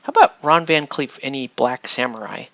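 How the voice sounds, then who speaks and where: Man, gravelly voice, rambling livestream chat, excitable, dull lo-fi sound, dry room. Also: medium pitch, moderate pace, dry recording. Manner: rambling, excitable, self-interrupting with laughs. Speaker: miniature painting streamer. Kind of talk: casual livestream chat while painting.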